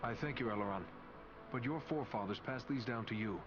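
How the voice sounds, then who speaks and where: Man playing a noble, courteous ranger, mid-pitched. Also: muffled recording, lightly acted. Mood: courteous, reassuring. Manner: formal, courteous, measured. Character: noble, courteous ranger warrior, steady and protective. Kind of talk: video game cutscene acting. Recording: loud music behind the voice.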